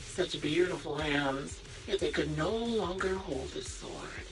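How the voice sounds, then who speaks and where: A woman's voice: low-pitched, far from the mic, music in the background, rough recording. An actress playing a sadistic, theatrical villainess in an anime game, teasing and mocking as she draws out her words. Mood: gleeful taunting. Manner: mocking, teasing, drawn-out delivery. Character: sadistic, theatrical villainess taunting a young sniper.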